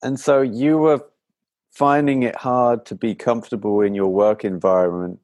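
A man's voice: medium pitch, steady tone, moderate pace, a little mellow, no room sound. A man interviewing a guest, asking loose, rambling questions.

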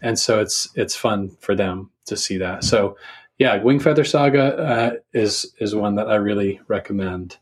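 A man with a fairly low, slightly husky voice talks casually as a podcast guest. He feels his way through sentences slowly and thoughtfully, often restarting. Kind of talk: casual podcast book chat.

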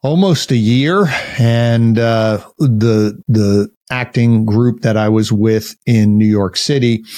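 A man with a loud, big voice, a marketing agency owner speaking as a podcast guest, explaining earnestly in long, rambling sentences. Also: on the low side, no echo, clean studio sound. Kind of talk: casual interview chat.

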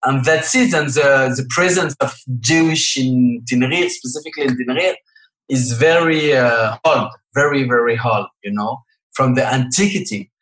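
A middle-aged man, speaking halting as an interview guest, often filling pauses with "you know" and "uh". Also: mid-range voice, a bit bright, reverberant, low-quality recording. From Morocco. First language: French. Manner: halting non-native English, frequent "you know" and "uh". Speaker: guest film director. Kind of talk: interview answers.

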